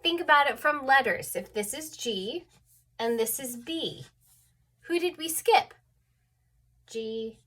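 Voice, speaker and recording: A woman's voice: high-pitched, lively intonation, slow, long pauses, a bit bright. A woman teaching an online music theory lesson, a little stuffed up, patiently explaining and often checking in with "okay?".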